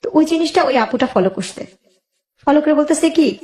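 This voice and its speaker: A woman's voice: high-pitched, lively intonation, a little fast, darkish tone, reverberant. A woman with a Bangladeshi accent tells her own life story as a guest, emotionally, quoting both sides of conversations as she goes.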